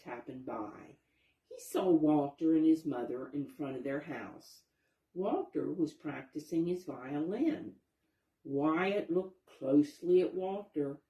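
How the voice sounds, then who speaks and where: A woman with a low, mellow voice reads a picture book to young children in an echoey room. She explains as she goes and puts questions to her listeners. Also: lively intonation, takes it slowly, highs cut off. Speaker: storybook reader, teacher-like narrator. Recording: roomy, echoey sound.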